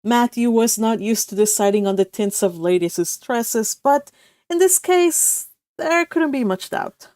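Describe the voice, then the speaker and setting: A woman reading a children's novel aloud as an expressive storybook narrator. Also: on the high side, a little slow, dry recording, studio quality. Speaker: audiobook narrator.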